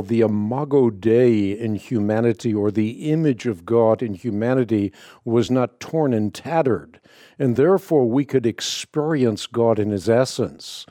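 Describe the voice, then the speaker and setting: A man from the Netherlands, a native Dutch speaker with no accent, hosting a call-in radio show and answering Bible questions in a measured, explanatory way. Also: mid-range voice, mellow, no room sound, clean studio sound.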